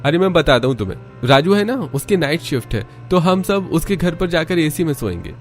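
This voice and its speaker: A man's voice: a little high, lively intonation, fairly quick, faint music behind, lightly acted. A man voicing the agreeable adult son of a thrifty family in a cartoon story, explaining things conversationally. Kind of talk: cartoon story character dialogue.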